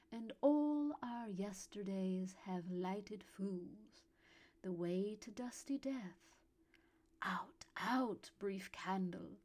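A woman voicing a man who recites verse theatrically in a crisp English accent, slow and breathy. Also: mid-range voice, a little mellow, natural voice.

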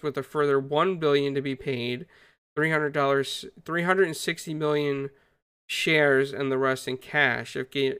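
An upbeat man hosts a casual gaming podcast, running through news with plenty of fillers. His voice is bright, crisp and fairly high. The sound is dry and close. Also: dry recording. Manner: upbeat, greets viewers, uses many fillers. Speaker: podcast host.

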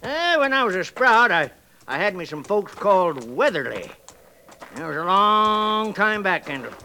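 An actor playing a folksy old gold prospector with a gravelly American frontier drawl in a radio western. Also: male voice, sits high, sing-song, unhurried, pushed and bright, full character voice. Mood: folksy, cheerful. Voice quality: creaky, gravelly. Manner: folksy, rustic dialect. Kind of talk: 1950s radio western acting. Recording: noisy vintage 1950s radio recording.

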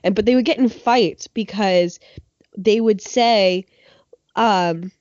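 A young woman co-hosting a casual chat, animated and laughing often, a little sniffly from a cold. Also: mid-range voice, slow, no echo, dull audio.

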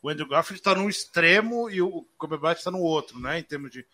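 A Brazilian man co-hosting a casual film podcast, animated and full of restarts and fillers. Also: fairly high pitch, fairly quick. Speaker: podcast co-host.

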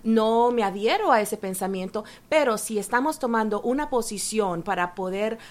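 Woman, interview guest, mid pitch, earnest, explaining with fillers. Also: lively intonation, moderate pace. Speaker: guest activist.

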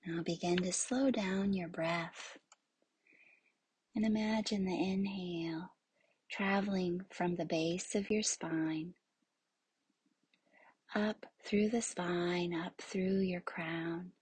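A woman leading a guided yoga nidra meditation in a soothing, hushed, breathy voice that often nears a whisper, with long pauses. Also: on the low side, fairly even intonation, dry recording.

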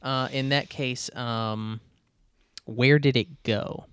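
A man's voice: mid-range voice, steady tone, slow, a bit bright, smooth. Deliberative man in casual game talk. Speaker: tabletop game player. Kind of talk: casual improvised tabletop role-playing chat.

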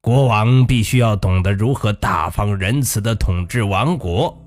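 A storyteller as a solemn, slow old wizard. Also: mid-range voice, soft background music, pitched below the performer's own voice, lightly acted. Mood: solemn, approving. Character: solemn old wizard, stately and approving kingmaker.

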